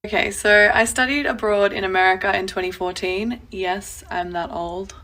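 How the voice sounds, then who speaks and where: A woman from Australia with an Australian accent, telling a story to camera with dry, deadpan humor. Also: medium pitch, normal speed, low-quality recording.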